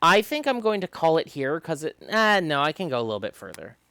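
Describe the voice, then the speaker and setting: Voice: mid-range voice, big pitch swings, brisk, a bit bright, clean tone, no room sound. A game streamer giving casual, emphatic commentary and reading game text aloud on a livestream. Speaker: streamer hosting a visual novel playthrough.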